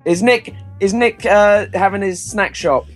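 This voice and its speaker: A man's voice: high voice, measured pace, faint music behind. Teasing young man on a late call. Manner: teasing, playful questions. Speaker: guest on call. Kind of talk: casual late-night chat.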